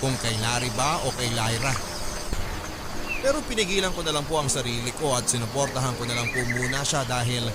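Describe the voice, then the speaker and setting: A man's voice: mid-range voice, fairly quick, heavy background noise. A man narrating a scripted first-person letter for a radio drama, in an earnest and polite storytelling manner.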